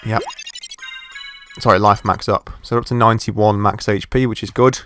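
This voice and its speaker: Guy, sore throat, croaky and lowish, relaxed let's-play talk. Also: normal speed, music in the background. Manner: relaxed, thinking aloud while playing. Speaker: gameplay commentator. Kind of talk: casual let's play commentary.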